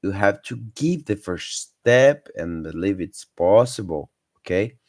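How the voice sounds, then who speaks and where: Man, 30s, low-ish voice, halting learner's English, encouraging vlog tone. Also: expressive, darkish tone, no room sound, clean studio sound. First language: Portuguese. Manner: hesitant, many fillers and self-corrections, encouraging. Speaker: vlogger sharing language tips. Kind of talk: casual unscripted talk to camera.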